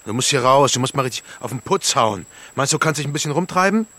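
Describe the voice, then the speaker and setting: An actor playing a rough, bullying petty crook in a radio play, curt and domineering. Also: male voice, medium pitch, animated, fast, a bit bright. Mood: brisk, probing.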